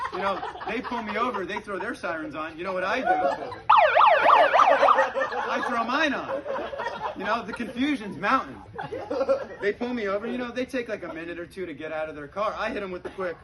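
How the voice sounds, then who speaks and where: A man tells stories as a stand-up comedian in a conversational way. His voice sits a little high for a man. The live recording is clearly noisy, with audience laughter behind him. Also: lively intonation, moderate pace, pauses often. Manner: conversational, frequent "you know", acts out dialogue.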